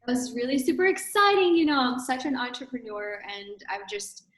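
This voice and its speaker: A woman's voice: fairly high pitch, normal speed, a bit bright, reverberant. A woman in her thirties from Ukraine with a Ukrainian accent, a fitness studio owner explaining things casually in an interview, with frequent fillers and tag questions.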